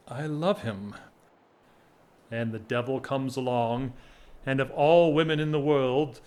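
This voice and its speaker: Man playing a slow-spoken, lovelorn English gentleman. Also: mid-range voice, close to an everyday voice. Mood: pained confession. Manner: formal, wistful, wry, measured speech. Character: lovelorn, weary upper-class English gentleman, restrained and wry. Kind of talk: stage-style period radio drama acting.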